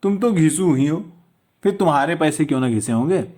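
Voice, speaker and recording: A man voicing a teasing, animated young widow. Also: fairly high pitch, fairly quick, pitched above the performer's own voice. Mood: playful teasing. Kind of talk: storybook character dialogue.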